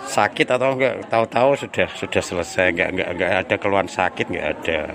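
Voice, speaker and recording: Villager, male, lowish voice, plain and stumbling, clear street noise. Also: a little fast, crowd noise. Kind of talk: casual interview answers. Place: outdoors at a village hall.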